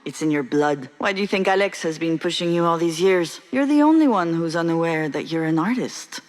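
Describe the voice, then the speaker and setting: A woman's voice: on the low side, lively intonation, fairly quick, lightly acted. An actress playing a frank, teasing, encouraging woman in a narrative video game, blunt and informal in a naturalistic style.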